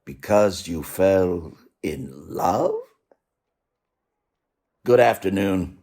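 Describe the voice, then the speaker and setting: An actor playing a cold, bitter old miser in a radio play, curt and scornful. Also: male voice, deep voice, expressive, drawn-out words, vocal fry, mellow, heavily acted. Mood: irritated, dismissive. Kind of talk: theatrical radio play acting.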